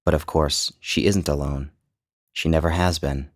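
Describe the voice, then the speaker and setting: Extremely deep-voiced man narrating a story. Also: fairly even intonation, measured pace. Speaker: author and narrator. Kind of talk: literary short story narration.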